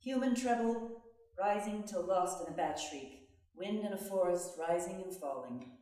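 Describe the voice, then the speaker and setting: A woman's voice: medium pitch, normal speed, warm tone. A woman declaiming short descriptive phrases in a dramatic scripted play reading. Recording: echoey hall, far from mic.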